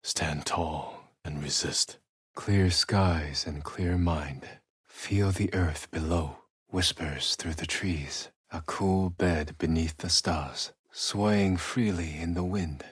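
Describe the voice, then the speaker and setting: A man playing a solemn, stoic samurai warrior in a video game, speaking in hushed, measured, poetic phrases with a breathy voice. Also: dry recording, clean studio sound, exaggerated voice. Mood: solemn, contemplative. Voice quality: low, breathy, husky. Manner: hushed, contemplative, deliberate phrasing. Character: solemn, reflective male samurai warrior, stoic and honor-bound.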